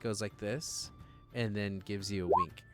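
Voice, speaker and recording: A man's voice: lowish voice, fairly even intonation, unhurried, soft background music. A man acting as game master, improvising narration and chatting casually and jokily with players on a stream. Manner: informal, jokey, slangy.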